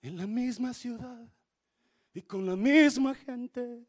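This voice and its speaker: A male pastor with a Spanish accent, preaching a sermon that switches between Spanish and English and swings from quiet to shouting. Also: very high voice, takes it slowly. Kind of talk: bilingual sermon.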